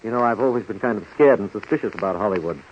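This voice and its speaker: Man playing a quick-talking, polite young leading man. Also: lowish voice, close to an everyday voice. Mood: affable, trusting. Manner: courteous, affable. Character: polite, trusting newcomer leading man from New York. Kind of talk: 1930s radio comedy acting. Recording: dull, noisy 1930s radio recording.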